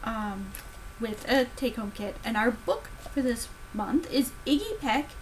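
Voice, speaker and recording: Very animated, high-voiced woman reading to children. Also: unhurried, a little hiss or hum, low-quality recording. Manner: expressive, sing-song storytelling delivery. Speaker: children's librarian reading aloud. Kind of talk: animated picture-book read-aloud of rhyming story.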